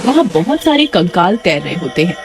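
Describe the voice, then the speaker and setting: Animated woman narrating a story. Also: fairly low pitch, brisk, background noise. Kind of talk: scripted children's horror-comedy story narration.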